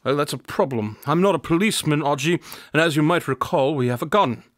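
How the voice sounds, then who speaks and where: Male actor as aloof gentleman detective, dry close recording, wry, unruffled, formal wording, radio drama. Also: on the high side, a little fast, no echo, close to an everyday voice. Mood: coolly menacing. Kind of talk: audio drama acting.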